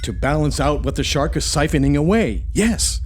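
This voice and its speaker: A man acting as an earnest space hero in a sci-fi radio play, urgent and encouraging. The voice is fairly high and animated, over faint ambient noise. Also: normal speed, close to an everyday voice. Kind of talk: scripted audio drama acting.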